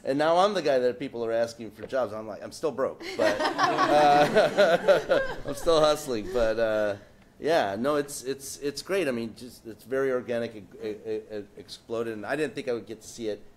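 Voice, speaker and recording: A man from San Diego speaking as an artist on a panel, telling animated anecdotes with frequent restarts and asides. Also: medium pitch, moderate pace, noisy background. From San Diego, United States.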